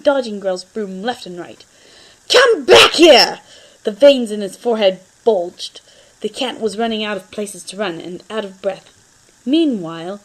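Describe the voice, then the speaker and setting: A woman narrating a humorous fan story as an expressive, theatrical dramatic reading. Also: mid-range voice, rough recording.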